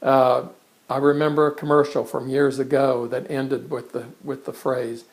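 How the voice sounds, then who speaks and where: Gravelly older man chatting about himself. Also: mid-range voice, little pitch movement, poor audio. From born in England, raised in Kansas. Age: 60s. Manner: conversational, halting with frequent restarts and fillers. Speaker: artist introducing himself. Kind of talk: casual introductory monologue to camera. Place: his art studio in front of a blank canvas.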